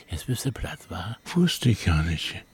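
Husky, mocking older Brandenburg village man. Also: sits low, exaggerated voice. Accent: Berlin-Brandenburg. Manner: colloquial, needling, blustering. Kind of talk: naturalistic German radio play acting.

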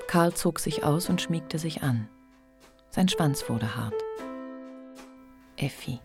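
Low-pitched female radio play narrator. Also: lively intonation, takes it slowly, music in the background.

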